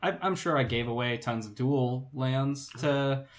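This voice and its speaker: Male co-host, medium pitch, blunt and jokey, chatting off the cuff. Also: a little slow. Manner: blunt, sweary, joking. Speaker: podcast co-host. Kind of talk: casual chat.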